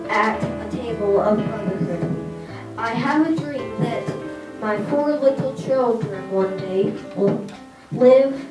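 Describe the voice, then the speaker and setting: A third-grade child reading a famous speech aloud for a school reader's theatre, halting and deliberate with stumbles. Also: medium pitch. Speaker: student reader. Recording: echoey, distant mic, music behind. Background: music.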